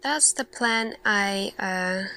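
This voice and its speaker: A woman performing an ASMR sci-fi roleplay as an earnest, thoughtful lead scientist, speaking deliberately with pauses. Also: mid-range voice, pushed and bright, clear, resonant tone, low-quality recording. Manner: slow, deliberate, pausing, questioning delivery. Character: earnest, thoughtful female lead scientist addressing her team.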